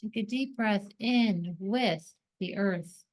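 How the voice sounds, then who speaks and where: A woman narrates a guided meditation at a slow pace. Her voice sits at an average pitch for a woman. She delivers scripted, soothing instructions in short, deliberate phrases, leaving space between them. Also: a little mellow.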